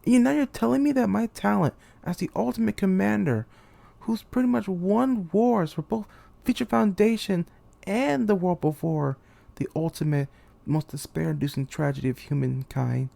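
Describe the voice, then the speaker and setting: A man performs a young military commander sunk in despair and betrayal. His voice sits a little high for a male, with a soft, dark tone and plenty of fry. He speaks in a theatrical, halting way, as in a dramatic anime game monologue. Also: no room sound. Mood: outraged, distraught. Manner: theatrical, halting, anguished delivery.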